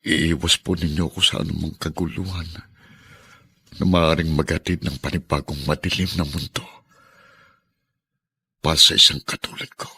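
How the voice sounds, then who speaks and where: Very deep, raspy, humble ex-convict. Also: male voice, steady tone, moderate pace, pauses often, bright, forceful tone, dry recording, exaggerated voice. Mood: anxious, prayerful. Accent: Filipino. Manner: humble, hesitant. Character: weary, humble ex-convict man, newly freed, prayerful. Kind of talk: Filipino radio drama acting.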